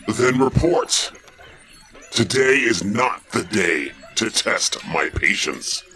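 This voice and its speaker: A man playing a menacing alien warlord who gives slow, contemptuous commands in an audio drama. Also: fairly low pitch, animated, a crowd in the background. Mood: commanding. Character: menacing evil male alien warlord ruler.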